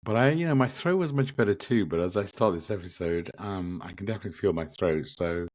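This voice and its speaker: A man hosting a spiritual podcast, gently reflective, his voice a bit croaky from a lingering cough. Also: low voice, animated, brisk, no echo, studio quality. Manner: gentle, reflective, with frequent ums and repeats. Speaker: podcast host. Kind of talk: casual spiritual podcast talk.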